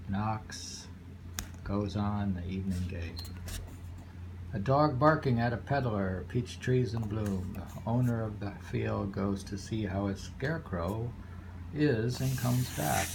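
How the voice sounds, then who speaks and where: Slow, low-voiced man reading poetry. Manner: unhurried, musing, pausing between phrases. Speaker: poetry reader and commentator. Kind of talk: reading haiku aloud with casual commentary. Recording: clearly noisy home recording with ambience.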